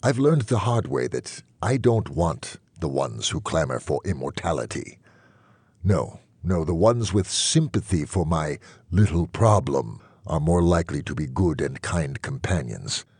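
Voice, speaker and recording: A man narrating an audiobook as a wry, melancholy immortal vampire, reflective and dryly witty, in a husky voice. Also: lively intonation, a little slow, a little mellow, dry recording, heavily acted. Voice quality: low, husky. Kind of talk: first-person audiobook narration.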